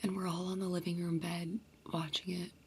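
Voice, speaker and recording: A woman's voice: lowish voice, little pitch movement, a little fast, darkish tone, husky. A young woman vlogger whispering a casual, chatty storytime to her viewers. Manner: chatty, many fillers.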